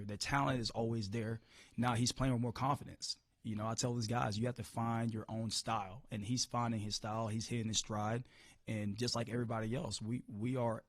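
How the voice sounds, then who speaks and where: A hoarse-voiced man coaching football, answering press questions upbeat, often repeating words as he restarts. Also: mid-range voice, fairly even intonation, brisk, no echo, clean studio sound. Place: media room.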